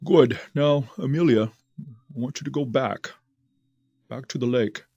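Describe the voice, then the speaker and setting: An actor playing a calm, reassuring hypnotist doctor in an audio drama, with a measured, soothing, commanding delivery. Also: male voice, mid-range voice, breathy edge, no echo, clean studio sound. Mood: probing.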